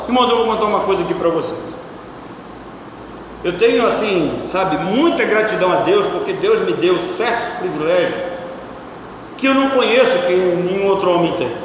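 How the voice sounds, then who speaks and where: A high-voiced pastor gives a conversational sermon, often pausing to check in with his listeners. His voice is picked up from a distance and rings around a large, echoing church hall with long reverb. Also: a bit bright, a little hiss or hum. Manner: conversational preaching, frequent "tá?" checks. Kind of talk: sermon teaching. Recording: echoey church hall from far off.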